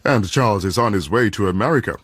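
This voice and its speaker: A male announcer with a lowish, husky voice introduces a drama from a script. His pitch moves around a lot and the sound is close and dry. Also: animated, fast, fairly bright tone, no echo. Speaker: programme announcer.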